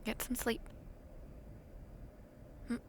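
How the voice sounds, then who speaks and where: A young woman acting an affectionate, teasing university student in an intimate roleplay, talking softly and playfully to the listener late at night. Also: extremely slow, a little hiss or hum. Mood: fond, sleepy. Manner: soft, playful, teasing, with breathy murmurs.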